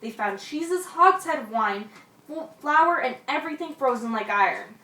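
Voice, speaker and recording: A woman's voice: high-pitched, lively intonation, moderate pace, sharp tone, recorded from a distance. A woman playing an old seafarer who tells a dramatic yarn in an amateur radio play. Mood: suspenseful. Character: old seafarer spinning yarns to young lads. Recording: echoey room, off mic.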